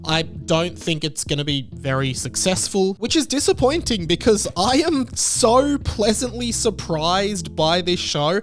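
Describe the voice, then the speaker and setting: A man's voice: sits high, big pitch swings, a little slow, few pauses, a bit bright. A man giving a conversational video review in an earnest, opinionated and emphatic way.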